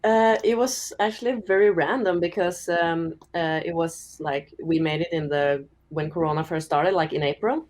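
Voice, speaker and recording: Deep-voiced young woman. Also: moderate pace, few pauses. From Norway. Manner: relaxed, chatty, many "like" fillers, giggles often. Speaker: singer and songwriter guest. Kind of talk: casual interview answers.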